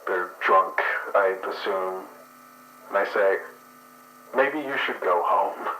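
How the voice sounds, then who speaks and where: A man's voice: low voice, takes it slowly, pushed and bright, echoey room, slight background noise, full character voice, low-quality recording. A man voicing a crude, irritable man with skunk traits in an actual play podcast, talking in a profane, sardonic way. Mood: hushed.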